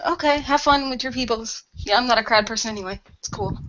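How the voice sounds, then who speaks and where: A woman's voice: on the high side, fast talker, fairly bright tone, slight background noise. A woman improvising casual role-play dialogue in an offhand, sarcastic way. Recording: dull lo-fi audio.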